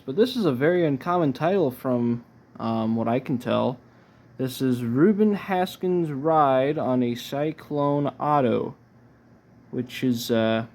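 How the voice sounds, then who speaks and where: A man giving casual, low-key unboxing commentary as a collector, halting often as he thinks aloud. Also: a little mellow. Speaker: record collector presenting his haul.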